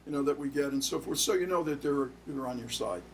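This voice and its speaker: An older man giving plain-spoken, somewhat halting answers as an interview guest. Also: mid-range voice, slight background noise. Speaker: interview guest, retired fire official and school principal. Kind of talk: conversational interview.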